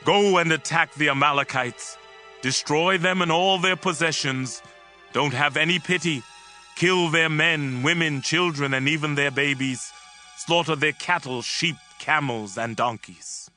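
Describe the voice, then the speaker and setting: A man's voice: on the high side, measured pace, sharp tone, faint music behind. A man playing a grave, stern prophet who delivers divine messages in a dramatized audio Bible. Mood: severe, commanding.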